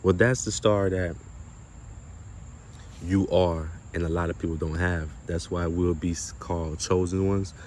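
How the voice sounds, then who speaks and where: Deep-voiced man in an informal spiritual monologue. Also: fairly even intonation, a little slow, a little mellow, slight background noise. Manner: emphatic, repetitive, rhetorical questions; casual and slangy with some swearing. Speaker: spiritual content creator and speaker.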